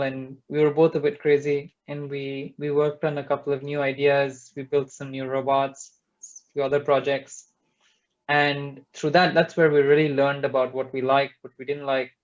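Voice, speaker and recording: A man's voice: fairly high pitch, highs cut off. A young man from India, a startup co-founder, speaking earnestly and reflectively on an online panel. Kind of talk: panel webinar talk.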